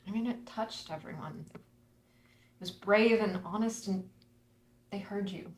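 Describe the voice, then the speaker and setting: An actress reading an earnest, playfully wistful daughter who runs a theater, in a screenplay table read. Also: female voice, medium pitch, measured pace, natural voice. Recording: echoey room with clear background noise.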